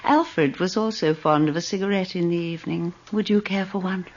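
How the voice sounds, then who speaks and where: A woman playing an elderly, wealthy, self-serving widow in a radio drama, speaking in a genteel, deliberate, insinuating manner with a husky voice. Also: lowish voice, a little mellow, slight background noise, close to an everyday voice. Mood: genteel, prickly. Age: older. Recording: dull lo-fi radio recording.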